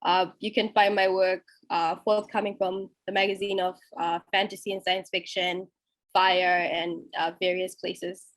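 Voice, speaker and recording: A woman's voice: fairly low pitch, little pitch movement, unhurried, dry recording, poor audio. A young woman, speaking conversationally as a writer on an online panel. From Zimbabwe. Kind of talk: conversational self-introduction on a panel. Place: online video call.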